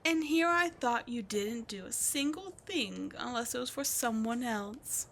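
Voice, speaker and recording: A scheming, seductive demon queen, played by a woman in an audio drama. She speaks slowly and teasingly, letting her taunts linger and slipping into breathy whispers. A faint ambient hum sits behind her voice. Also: on the high side, expressive, a bit bright. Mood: taunting.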